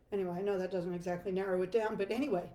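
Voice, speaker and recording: An older woman with a hoarse, froggy voice, teaching a chatty guitar lesson live from her home music room. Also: mid-range voice, fairly even intonation, fast, dark tone, echoey room. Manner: chatty, self-deprecating, laughs easily. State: hoarse from laryngitis.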